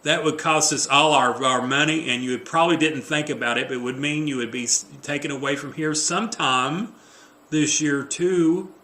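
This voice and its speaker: An excitable, bossy wife in a domestic stage comedy, read out by a man. The delivery is fretful and insistent, with a forceful bright tone in an echoey room. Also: fairly high pitch, normal speed, voice pushed up.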